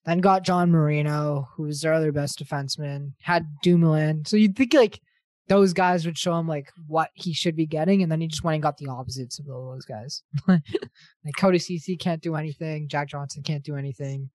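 A man's voice: fairly high pitch, no room sound. Rambling man in his twenties. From Ontario, Canada. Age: 20s. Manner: rambling, animated, quick to laugh. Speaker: podcast co-host. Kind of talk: casual sports chat.

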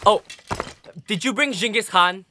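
A man playing an eager, naive bandit sidekick in an audio drama, blurting things out bluntly and excitably. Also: high voice, unhurried, no room sound, studio quality, heavily acted.

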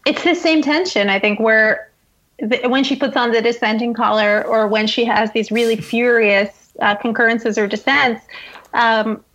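A woman with a fairly high voice speaks as a journalist guest on an interview show. She explains and analyzes in a conversational commentary style. The audio is slightly dull and lo-fi. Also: steady tone, moderate pace, a bit bright.

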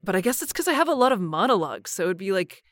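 A woman's voice: mid-range voice, big pitch swings, very fast, a bit bright, no echo, clean studio sound. A woman chatting informally as a voice actor guest, often peppering sentences with filler phrases. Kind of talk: casual chat.

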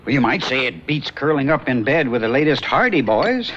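Wry teasing Bill. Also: male voice, medium pitch, sing-song, fairly quick, a bit bright. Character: wry joking man named Bill, skeptical friend. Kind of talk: 1970s radio drama acting. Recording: dull old radio broadcast with faint hiss.

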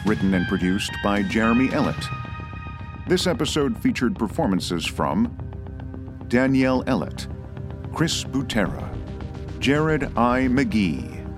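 A man's voice: medium pitch, big pitch swings, unhurried, long pauses, over music. A man reading scripted podcast credits as an announcer.

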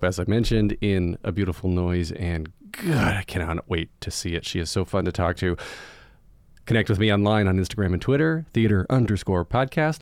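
Man, podcast host, playful intro, low pitch, dry close mic. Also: no room sound. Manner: playful, bouncy.

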